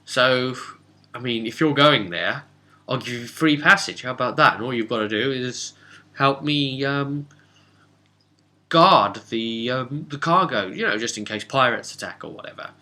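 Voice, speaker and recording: A man acts out a friendly male ship captain from a fantasy tabletop game. The voice is bright and a little raised, with an easygoing, talkative, slightly hesitant manner. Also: medium pitch, measured pace. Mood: friendly bargaining.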